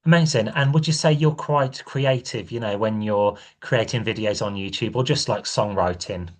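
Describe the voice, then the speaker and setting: A man's voice: on the low side, normal speed. A man hosting a podcast interview, asking loose questions with plenty of fillers. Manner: relaxed questioning with many fillers. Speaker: podcast host.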